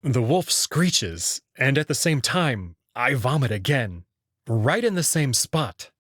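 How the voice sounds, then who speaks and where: Husky, expressive male narrator. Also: mid-range voice, takes it slowly, dry recording, clean studio sound. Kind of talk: scripted first-person visual novel narration.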